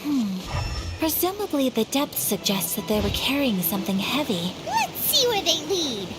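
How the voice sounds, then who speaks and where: Very high, spirited young girl. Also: wildly swooping pitch, moderate pace, loud music behind the voice, poor audio. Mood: resolute. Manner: earnest, formal, precise wording. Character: spirited, principled young girl, small and bold. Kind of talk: anime-style video game acting.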